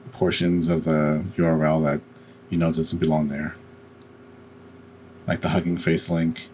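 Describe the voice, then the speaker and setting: A man giving a step-by-step software tutorial in a halting way, pausing in mid-sentence. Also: rumbling low, slight background noise. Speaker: tutorial host.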